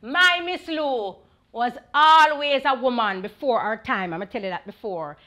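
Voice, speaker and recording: A woman's voice: on the high side, a little slow, pushed and bright. A Jamaican woman hosting a culture show, speaking to her audience in an exuberant, theatrical way with sing-song emphasis. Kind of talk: TV presenting to audience.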